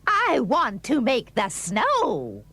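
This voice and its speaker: Lady doing a bossy storybook empress, highish voice, super swoopy, insistent, dry sound. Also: huge pitch swings, slow, bright, forceful tone, husky, no echo, full character voice. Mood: imperious. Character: demanding, imperious wife who rules as emperor. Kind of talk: dramatised folk tale for English learners.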